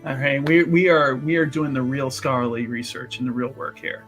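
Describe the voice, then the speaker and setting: A man's voice: mid-range voice, little pitch movement, normal speed, fairly bright tone, faint music behind, voice pushed down, natural voice. A man playing a self-important, zealous scholar in a tabletop game, speaking emphatically and repeating himself as he rallies a devoted student. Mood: boastful, defiant.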